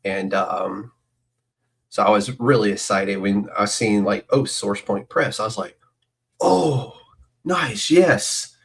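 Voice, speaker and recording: Chatty male podcast host, low voice. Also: slow, gravelly. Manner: chatty, rambling, excitable fan. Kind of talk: casual podcast interview.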